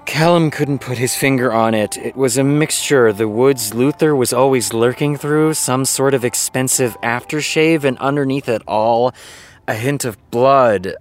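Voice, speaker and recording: A man's voice: medium pitch, lightly acted. A man acting in a fiction podcast as an exasperated, sarcastic TV creator who reads fan fiction aloud. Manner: sardonic, muttering asides between read passages.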